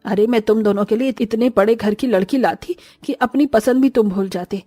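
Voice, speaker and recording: A woman's voice: a little high, moderate pace, mellow, no room sound, soft background music, close to an everyday voice. A woman voicing a haughty, scornful older rich mother-in-law who scolds and orders people about in a moral-story cartoon. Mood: outraged. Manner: scolding, imperious.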